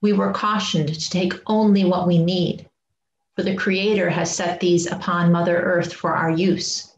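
Slow-speaking woman in an echoey room. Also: on the low side, fairly even intonation. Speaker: reader in a church service. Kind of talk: solemn read-aloud reading. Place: indoor home room. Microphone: webcam at desk distance. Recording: muffled, echoey room recording.

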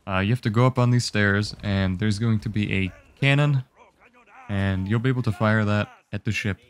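A young man with a fairly low voice narrates a game guide. He explains each step in an easygoing, instructive way, casual and unhurried. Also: clear, resonant tone.

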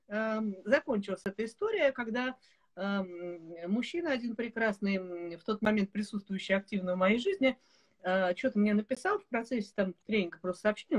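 A woman in her forties hosting a casual video call chat, telling stories in an animated, laughing way. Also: medium pitch. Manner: lively storyteller, animated and laughing. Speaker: host. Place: home room with bookshelves. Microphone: video call webcam.

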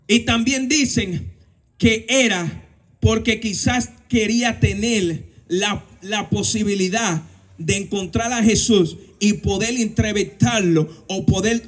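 A young man with a Dominican Spanish accent, preaching a fervent sermon outdoors at night into a handheld microphone, asking and answering his own questions. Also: sits high. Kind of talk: street sermon. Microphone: handheld mic at a lectern.

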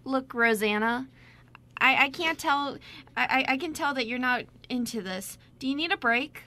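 A woman's voice: sits high, lively intonation, moderate pace, sharp tone. A woman playing a kind, encouraging fashion photographer, coaxing and reassuring, in an amateur radio play. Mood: concerned, kind.

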